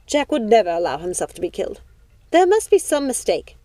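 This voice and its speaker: Woman acting haughty, volatile aristocratic lady, swooping pitch. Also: very animated, fairly quick, dark tone, no room sound, lightly acted. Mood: disbelieving. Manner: imperious, demanding.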